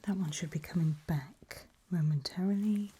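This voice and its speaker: A woman whispers softly in an ASMR medical roleplay, mellow and on the low side. She takes her time, gently reassuring a patient as she works. The microphone is close, with a faint hiss behind her. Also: normal speed. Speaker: ASMR performer playing a back-alley eye surgeon.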